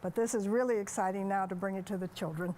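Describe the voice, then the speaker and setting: A woman's voice: mid-range voice, fairly even intonation, fast talker, mellow, slight background noise. A woman speaking earnestly and articulately as a museum representative being interviewed. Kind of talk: conversational TV interview.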